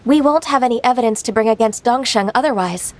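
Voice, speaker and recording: An actress voicing a polite young official in a video game, with a courteous, measured way of speaking. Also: female voice, a little high, natural voice.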